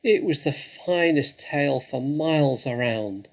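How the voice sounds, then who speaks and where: A man's voice: fairly high pitch, animated, slow pace, creaky, voice pushed up, exaggerated voice. A man voicing a tender, fretful mother fox in a storybook, with an anxious, pleading manner.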